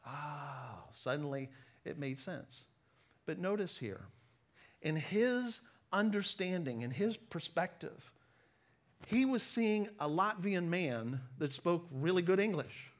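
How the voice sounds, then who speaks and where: A middle-aged man with an American accent preaches in a fairly high voice. He tells personal stories in a conversational, self-deprecating way. He leans on key phrases and breaks them up with deliberate pauses. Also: animated. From United States. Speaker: preacher, former missionary. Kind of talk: sermon with personal storytelling.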